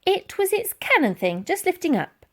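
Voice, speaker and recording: A woman voicing a bold, cheeky young girl who fibs quickly, pert and emphatic, for a children's storybook. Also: very high voice, sing-song, voice pushed up, heavily acted. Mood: nervous bluffing.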